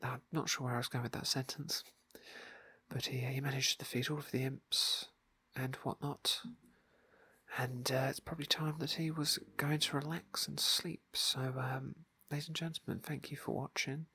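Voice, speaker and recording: A man speaking in a soft, breathy hush, often near a whisper, narrating an ASMR roleplay game playthrough. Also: mid-range voice, little pitch movement, moderate pace, no room sound. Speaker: ASMR gaming video host and roleplayer. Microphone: close microphone, dry.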